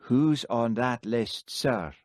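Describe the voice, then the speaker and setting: A man doing a calm, shrewd village constable. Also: medium pitch, slow, no room sound, clean studio sound, voice pushed up, close to an everyday voice. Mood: measured.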